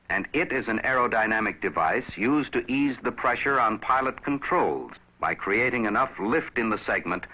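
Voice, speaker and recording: A man narrates a scripted educational film in a measured, instructive manner, addressing the viewer directly. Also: lowish voice, slightly rough. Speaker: narrator of a pilot training film. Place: recorded voice-over. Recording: dull, aged film soundtrack.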